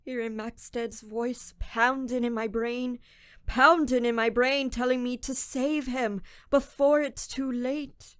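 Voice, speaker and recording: A woman's voice: on the high side, steady tone, clear, resonant tone, no echo, studio quality. A woman playing a guilt-ridden, tormented young thief in a radio drama, acting scenes and narrating in the first person. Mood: troubled. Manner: tormented, brooding, deliberate.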